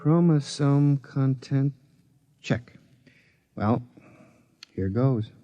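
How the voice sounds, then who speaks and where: An actor playing an anxious, lovelorn lawyer who tinkers with creation, in 1950s radio drama style. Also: male voice, mid-range voice, very slow, no room sound, highs cut off, faint music behind. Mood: excited, amazed. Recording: vintage radio drama broadcast.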